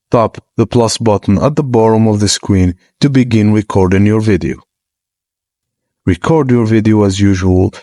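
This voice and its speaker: A man with a lowish voice reads out a step-by-step app tutorial. His delivery is plain and instructive, at an even pace. The recording is dry, with no room sound. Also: dry recording. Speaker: tutorial narrator. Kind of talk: scripted step-by-step tutorial narration.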